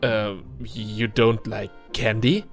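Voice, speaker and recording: A man's voice: lowish voice, level tone, slow, creaky. Man playing a folksy young candy seller. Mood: baffled. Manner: folksy, cheerful, slightly unsure salesman patter. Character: friendly young male travelling candy seller. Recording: music behind the voice.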